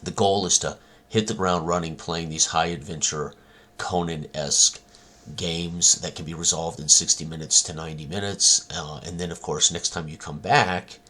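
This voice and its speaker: A man with an extremely low, husky voice talks informally as a game designer presenting his work. His delivery is halting and rambling, with long hesitations between phrases. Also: steady tone, pushed and bright. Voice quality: husky, very deep. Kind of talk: informal rambling product talk.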